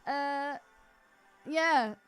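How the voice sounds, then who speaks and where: A woman's voice: high voice, extremely slow, fairly bright tone, faint music behind, rough recording. A woman co-hosting a podcast, chatting casually about films in an opinionated, bantering way. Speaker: podcast co-host. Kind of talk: casual film discussion chat.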